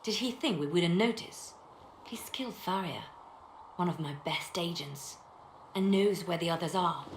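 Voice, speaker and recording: A roomy, echoing game recording of a ruthless spymaster. She is a woman with a low voice, controlled and stern. Her delivery is cool and weary, with faint noise behind it. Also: unhurried. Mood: cold resolve. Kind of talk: video game dialogue acting.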